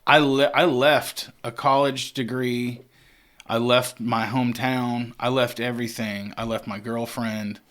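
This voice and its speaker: A man's voice: mid-range voice, steady tone, measured pace, fairly bright tone. A man in his forties, a singer-songwriter guest, telling stories in a candid, rambling way. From Texas. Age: 40s. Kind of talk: casual interview chat.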